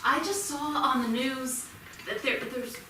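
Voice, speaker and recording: Worried, pleading mother, played by a woman, unhurried higher voice, reverberant noisy stage recording. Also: steady tone. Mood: anxious, pleading. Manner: hesitant, worried questioning. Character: anxious, pleading mother of a teenage boy. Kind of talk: staged play reading. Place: small theatre, staged reading. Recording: noisy, very echoey theatre from far off.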